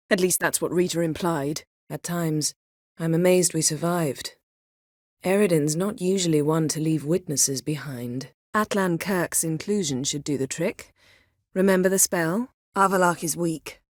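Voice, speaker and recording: A woman voicing a proud, sharp-tongued sorceress in a video game, speaking in formal, crisp, imperious phrases with composure and wry wit. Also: fairly low pitch, no room sound, studio quality, close to an everyday voice. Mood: composed, wry. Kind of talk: video game character dialogue.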